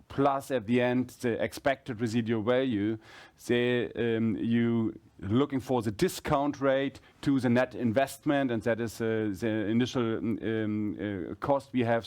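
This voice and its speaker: Mężczyzna, menedżer, tłumaczy z wahaniem. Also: male voice, mid-range voice, darkish tone. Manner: halting, rephrasing as he explains. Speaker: company executive answering analysts. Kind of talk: explanatory earnings-call answers.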